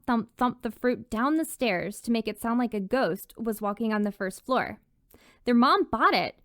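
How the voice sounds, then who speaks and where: A woman narrates a scripted history tale as a storyteller. Her voice is fairly high, and she explains things in a conversational way. The sound is very dry, with no room echo. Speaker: guest author and storyteller.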